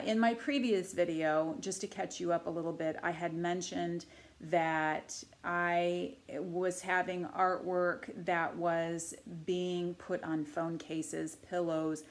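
A woman in her forties with a cold, talking to camera from her living room couch in an earnest, measured way with frequent filler pauses. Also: on the low side. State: deeper and huskier from a cold. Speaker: artist and channel host. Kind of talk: casual to-camera vlog.

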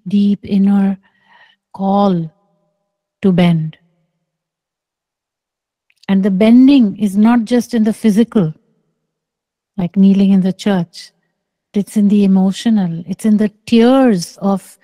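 A middle-aged woman giving spiritual teaching on a video call. She speaks softly and deliberately, with long pauses. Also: medium pitch, dry recording. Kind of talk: slow contemplative spiritual teaching. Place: small room indoors. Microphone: close microphone on a video call.

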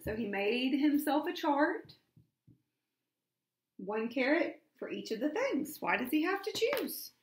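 A woman's voice: sits high, sing-song, takes it slowly. A woman in her thirties, a mother casually discussing a storybook with children and prompting them with simple questions. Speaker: mother guiding a children's read-aloud. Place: small room at home.

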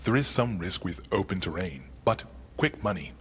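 An actor playing a composed heist planner in a video game, speaking in formal, clipped, measured phrases. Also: male voice, low voice, animated, husky, full character voice. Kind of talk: video game cutscene acting.

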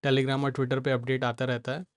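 A man's voice: medium pitch, little pitch movement, moderate pace, smooth, dry recording. A man with an Indian accent hosting a live market stream, answering viewers by name in a mix of Hindi and English. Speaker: market analyst host.

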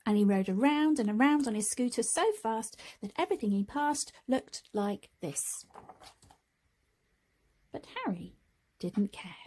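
A woman narrating a children's picture book, expressive and deliberate in her phrasing. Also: on the high side. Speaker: storybook reader. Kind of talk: scripted children's story narration.

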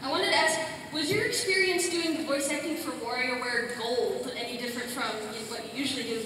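A high-voiced woman asking a question haltingly from the audience. Her voice booms around a very echoey hall, far from the mic, with clear noise behind. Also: sharp tone. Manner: hesitant, filler-heavy.

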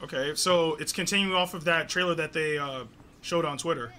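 A young man hosting a gaming video, reacting to a trailer with hype, slang and yelling, speaking on a headset in a small home gaming room. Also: a little high, brisk, slight background noise. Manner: hyped, yelling and laughing, slang-heavy. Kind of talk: excited gameplay reaction.

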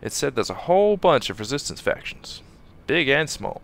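A man voicing a brash, excitable young male fighter in a game fan dub, bursting with enthusiasm. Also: mid-range voice, normal speed, creaky. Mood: excited. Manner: enthusiastic, boastful.

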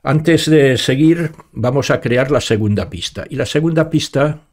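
A man's voice: mid-range voice, a little slow, darkish tone. A man in his sixties with an accent from Spain, presenting an informal screencast tutorial in an explanatory way, repeating himself for emphasis. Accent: Spanish (Spain). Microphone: studio microphone close up, headphones on.

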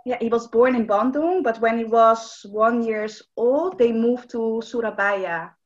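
Woman, hesitant, slightly high, video call, dull audio. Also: a little slow. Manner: hesitant, with fillers and laughs. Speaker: conversation partner.

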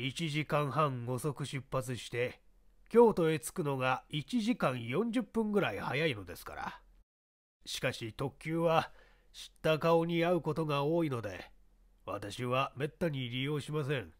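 A man playing a shrewd, self-assured baseball scout in a mystery story reading, explaining himself in a measured, worldly way. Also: a little high. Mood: guarded, pondering. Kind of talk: radio-drama style mystery reading.

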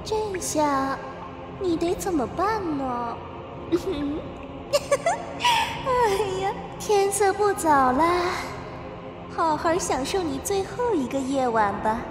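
An actress playing a cruel, gloating younger sister in a Chinese costume audio drama, mocking in a drawn-out, sing-song way. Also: female voice, very high-pitched, lively intonation, exaggerated voice. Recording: long echoing reverb, music underneath.

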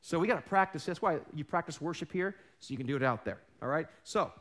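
High voiced preacher guy, kinda hoarse from losing his voice, fired up, throws questions at the crowd. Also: fast. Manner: animated, emphatic preaching that swings between loud and hushed; calls out questions to the congregation. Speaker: pastor. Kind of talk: sermon. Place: large church stage, indoors.

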